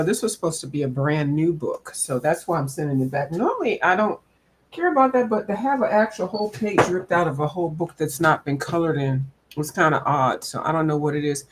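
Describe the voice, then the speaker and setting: A woman chatting casually to viewers in a folksy, rambling way. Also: sits low. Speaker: coloring channel host. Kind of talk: casual chat to viewers about coloring books.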